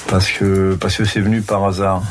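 A man's voice: low-pitched, normal speed, background noise. A man in his sixties, a shepherd, talking casually and plainly while herding on a mountain pasture. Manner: relaxed, plain-spoken, teasing.

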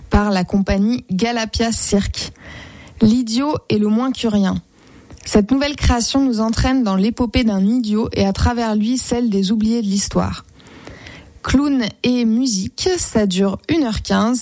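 A woman with a slightly high voice reads film and show listings for community radio. Her reading is fluent and even. The recording is close and dry. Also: no echo. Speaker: local radio co-host.

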